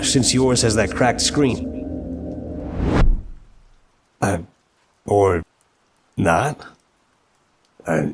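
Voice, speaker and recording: Man playing a deep-voiced, sweetly apologetic mafia boss. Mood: playful, eager, then concerned, puzzled. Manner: polite, earnest, hesitant with stammers and soft chuckles. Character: apologetic, affectionate young mafia boss, devoted boyfriend. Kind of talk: intimate ASMR roleplay audio drama.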